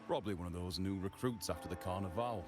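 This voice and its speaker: A man playing a gruff senior mob henchman in a video game, blunt and animated in manner. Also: deep voice, fast talker, music in the background, close to an everyday voice. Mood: wary, brusque.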